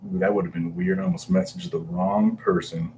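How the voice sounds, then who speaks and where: A man in his thirties with a fairly low voice, chatting loosely on a home livestream. He greets viewers by name, trails off and fills gaps with "uh". A faint background hiss sits under his voice. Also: big pitch swings, a little fast, vocal fry, dark tone, rough recording. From Hawaii. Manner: chatty, reads and greets viewers, hesitant fillers. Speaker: comic book livestreamer. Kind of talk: casual livestream chat.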